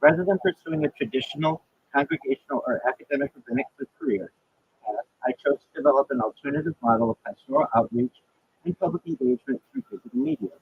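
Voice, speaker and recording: A man, a rabbi and livestreamer, reading a prepared self-introduction in a measured, list-like way with occasional restarts. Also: on the high side, very animated, gravelly, breathy edge, phone-quality sound. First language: not Hebrew.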